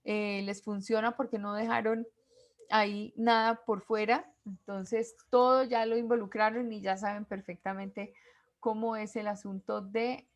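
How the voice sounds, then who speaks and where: A woman's voice: medium pitch, level tone, measured pace. A woman teaching a class, explaining step by step with spoken examples and quiz questions for her students. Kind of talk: classroom lecture.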